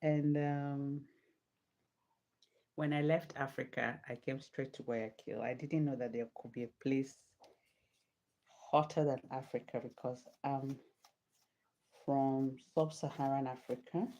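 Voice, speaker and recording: A woman's voice: a bass voice, fairly even intonation, a little slow, pauses often, warm tone. A woman from Nigeria chatting casually with viewers during a live hair-styling stream, answering their comments. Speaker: livestreaming hair vlogger. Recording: dull lo-fi sound.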